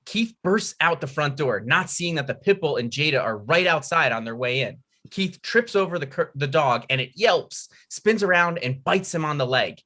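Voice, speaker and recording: A man's voice: mid-range voice, pushed and bright. A man reading stage directions as narrator at a script table read.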